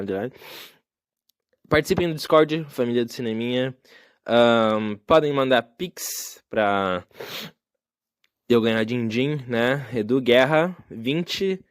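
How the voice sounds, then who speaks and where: A man's voice: mid-range voice, dry recording, studio quality. A young man hosting a solo podcast, talking in a casual, reflective and rambling way with many fillers. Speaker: podcast host. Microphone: phone.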